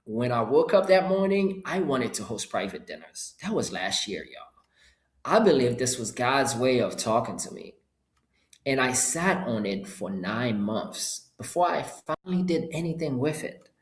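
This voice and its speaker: A middle-aged man from Haiti, a Creole speaker, gives an informal, upbeat motivational webinar pitch in short punchy phrases. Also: animated, unhurried. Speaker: entrepreneur hosting an online presentation. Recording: roomy, echoing sound.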